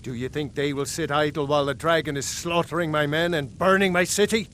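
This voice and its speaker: An actor playing a proud, wary lord of a city in a fantasy video game, speaking in a measured, earnest, commanding manner. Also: male voice, fairly high pitch, steady tone, a bit bright, slight background noise, close to an everyday voice. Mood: firm, worried. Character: proud, wary male Nord jarl guarding his city. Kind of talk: video game character dialogue.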